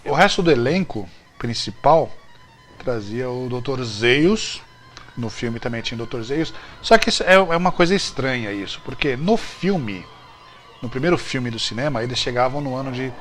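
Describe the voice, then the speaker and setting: Male host, Brazilian accent, casual chat, colloquial, average pitch, music clearly behind. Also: expressive, normal speed, a bit bright. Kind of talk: casual informal chat about old TV series.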